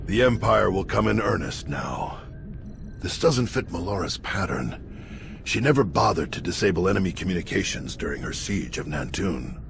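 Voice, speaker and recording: An aged Jedi master from a sci-fi video game, very low and creaky. He speaks solemnly and patiently, like a teacher, over a clearly noisy game soundscape. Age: older. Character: wise, patient old male Jedi master.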